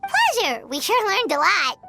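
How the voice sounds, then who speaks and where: Very high, squeaky little fairy girl. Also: huge pitch swings, a little slow, gravelly, exaggerated voice. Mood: cheerful, excited. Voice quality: squeaky, childlike. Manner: perky, refers to self in third person. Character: tiny floating childlike girl companion, curious, chirpy, excitable. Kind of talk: video game voice acting. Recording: loud music behind.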